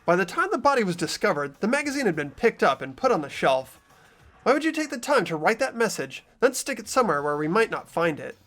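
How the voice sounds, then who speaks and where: A man's voice: high voice, big pitch swings, fast talker, a bit bright, faint music behind. A man voicing an earnest young student who presses with probing, incredulous questions.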